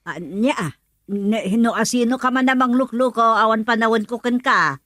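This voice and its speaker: A woman's voice: on the high side, normal speed, fairly bright tone, dry recording, close to an everyday voice. Woman acting flustered, scolding village mother, close and dry. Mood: suspicious, irritated. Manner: exclamatory, scolding. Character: flustered village mother, suspicious and easily worked up. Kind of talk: rural comedy radio drama acting.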